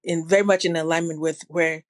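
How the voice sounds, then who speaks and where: A woman guest in her field of public health, answering interview questions in a measured, thoughtful way with a slight Ghanaian-tinged accent. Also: low-pitched, steady tone, no echo, studio quality. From New Jersey, family from Ghana. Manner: measured and thoughtful, with occasional restarts.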